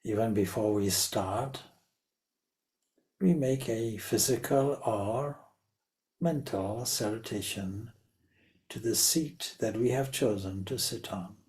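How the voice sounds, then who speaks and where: Very slow-speaking man guiding a meditation. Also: fairly low pitch, darkish tone. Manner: measured, deliberate, with long pauses between short phrases. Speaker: spiritual teacher leading meditation. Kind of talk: guided meditation.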